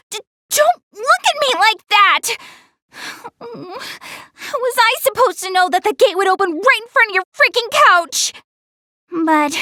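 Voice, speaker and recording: Game casting: young tsundere goddess girl, very high voice, lively inflection, proud yet self-conscious, dry close sound. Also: dry recording, heavily acted. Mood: embarrassed. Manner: earnest, self-conscious, hesitant backtracking. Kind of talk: English video game voice acting.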